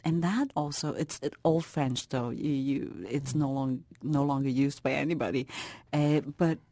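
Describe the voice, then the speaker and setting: Expressive middle-aged woman, big laugh. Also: extremely low pitch, normal speed, no room sound. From Vietnam. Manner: expressive, lively, many restarts. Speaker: author, guest. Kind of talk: interview conversation.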